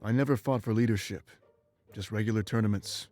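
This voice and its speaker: An actor playing an ancient, remorseful immortal warrior in a video game, speaking in a solemn, measured, bitter way. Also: male voice, fairly low pitch, a little mellow, natural voice. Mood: grave, confessional. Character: ancient immortal former rebel warrior, remorseful and weary man. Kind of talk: dramatic game voice acting, confessional monologue.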